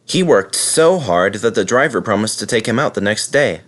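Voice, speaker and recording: Lively low-voiced man reading aloud. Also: moderate pace. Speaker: narrator reading a children's storybook. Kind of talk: storybook narration and spoken video introduction.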